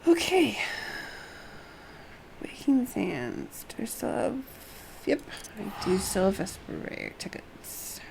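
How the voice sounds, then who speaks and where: A woman's voice: medium pitch, wildly swooping pitch, takes it slowly, creaky, long pauses, a little mellow, slight background noise. A woman livestreaming a game, reading dialogue aloud with casual asides and the odd self-correction. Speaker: game streamer.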